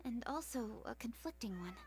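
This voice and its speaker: High-voiced, studious young noblewoman. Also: animated. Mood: scholarly reading. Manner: formal, measured, precise. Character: composed, studious young noblewoman, formal and courteous. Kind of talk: video game voice acting. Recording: music under the voice.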